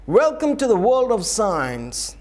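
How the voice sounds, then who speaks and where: A man's voice: high-pitched, huge pitch swings, slow pace. A man reading a scripted news bulletin in a formal announcer manner. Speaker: news reader.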